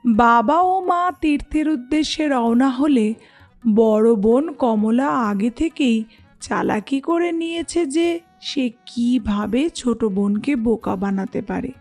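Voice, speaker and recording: A woman narrator reads a children's tale. She goes slowly, in a voice pitched a little high. Faint music runs underneath. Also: darkish tone. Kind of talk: scripted children's story narration.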